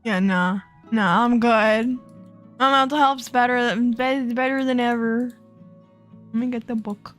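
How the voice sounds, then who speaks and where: Young female streamer, giggly and scatterbrained, unhurried bright delivery, light music bed. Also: fairly high pitch, fairly even intonation. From Florida. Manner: giggly, bubbly, self-deprecating, easily distracted. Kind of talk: casual live-stream chat with viewers.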